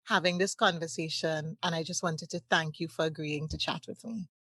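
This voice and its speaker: Low, encouraging woman podcast host. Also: steady tone, normal speed, sharp tone, dry recording. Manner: encouraging, frequent affirming "yes". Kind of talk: interview conversation. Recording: dry sound.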